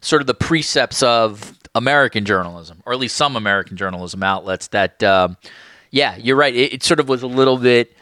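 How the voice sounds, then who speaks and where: A man hosting a casual podcast interview, putting his questions loosely and hedging as he goes. His voice is bright and forward, and it sits in a dry, close sound with no room echo. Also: medium pitch, fairly quick. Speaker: podcast host.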